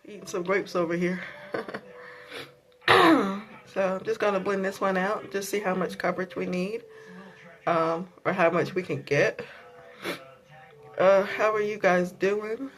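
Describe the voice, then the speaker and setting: A woman's voice: medium pitch, a little slow, pauses often, low-quality recording. A woman with a sore throat and a stuffy voice, chatting casually through a makeup tutorial with many ums and likes. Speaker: beauty vlogger.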